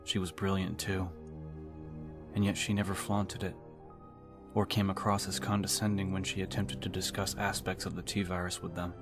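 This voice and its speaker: A man with a very deep voice narrates an audiobook in a scripted, dramatic style. His intonation stays very flat and even, and music plays behind him. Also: moderate pace.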